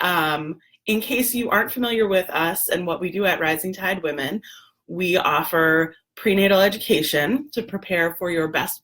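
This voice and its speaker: A woman, hoarse and gravelly, chatting casually on a live video with lots of ums and throat clearing. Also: on the low side, a bit bright. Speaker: doula and lactation educator, livestream host.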